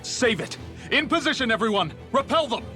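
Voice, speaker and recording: An urgent rebel fighter, pitched high for a male voice. He barks protective orders like a field commander in a video game, with clear music behind him. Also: lively intonation, brisk, pushed and bright, heavily acted. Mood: urgent, commanding.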